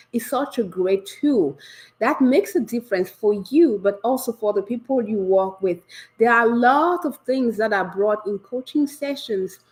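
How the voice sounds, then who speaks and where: A woman leadership coach hosting a live session, slightly raspy from a developing cold, talking with eager, encouraging energy and lots of questions. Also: medium pitch, rough recording. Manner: energetic, warm and encouraging, asks many questions. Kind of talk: enthusiastic live coaching talk.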